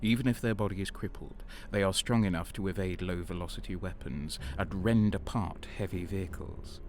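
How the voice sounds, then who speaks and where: Male actor as brooding, haunted soldier narrating a sci-fi war drama; low, grave, deliberate, music underneath. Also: darkish tone. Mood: grim, calculating. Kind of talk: dramatic scripted first-person narration.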